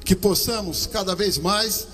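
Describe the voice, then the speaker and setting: A man with a Brazilian accent giving a slow, solemn ceremonial speech as an army commander at an outdoor podium microphone. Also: high voice, a bit bright, breathy edge, in a large hall. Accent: Brazilian Portuguese. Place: outdoor parade-ground stand.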